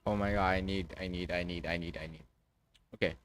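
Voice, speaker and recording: A man live-streaming a game, thinking aloud in a casual, halting way with some mild swearing. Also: low-pitched, fairly even intonation, a little hiss or hum.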